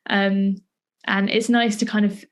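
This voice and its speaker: A woman's voice: mid-range voice, little pitch movement, a little slow. Woman hosting an arts podcast. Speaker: podcast host and interviewer, playwright. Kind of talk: scripted introduction, then conversational interview.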